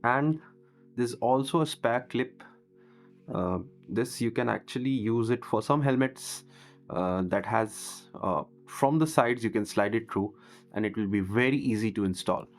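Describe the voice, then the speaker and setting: A man's voice: mid-range voice, unhurried. A man talking through a product unboxing as a vlogger, in a casual reviewing style. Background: music.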